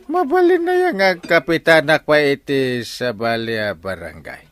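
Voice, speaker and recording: A man's voice: a little high, sing-song, normal speed, a bit bright, no echo, studio quality. A man playing a boastful, hot-headed, comical village captain in an Ilocano radio drama, blustering and self-important with a Filipino accent. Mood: boastful, bossy. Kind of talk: comedic radio drama acting.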